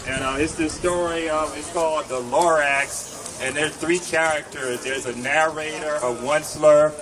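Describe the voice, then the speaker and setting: A middle-aged man reading a children's story in rhyme aloud at an outdoor gathering, with deliberate, measured delivery. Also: a little high, fairly even intonation, fairly bright tone. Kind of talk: live public storytime reading, rhyming verse. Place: outdoor public gathering in a park. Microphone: microphone on a stand, recorded by nearby camera. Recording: noisy outdoor recording from a distance, wind and traffic.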